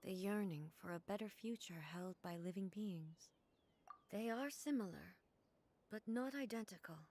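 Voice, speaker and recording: A woman voicing a composed, regal ruler in a video game, speaking in a measured, formal, reflective way. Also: mid-range voice, a little mellow, a little hiss or hum, natural voice. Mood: solemn, reflective. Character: composed, regal female ruler, solemn and philosophical. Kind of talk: video game story dialogue.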